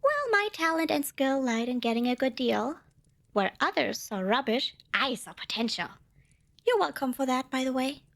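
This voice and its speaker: A woman performs a little female demon in an intimate roleplay for the listener. Her voice sits high and leaps around in pitch. She is proud and indignant, boasting grandly, then hedging and correcting herself like a flustered small saleswoman. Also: very animated, moderate pace, creaky, a bit bright, full character voice. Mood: salesmanlike, hedging. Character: tiny cute female demon, proud, flustered, bargain-loving. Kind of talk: ASMR roleplay addressed to the listener.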